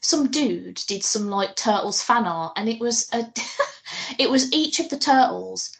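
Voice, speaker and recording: A British woman co-hosting a podcast, chatting casually in an informal, jokey and expressive manner. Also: fairly high pitch, measured pace, gravelly. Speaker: podcast co-host. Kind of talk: casual chat.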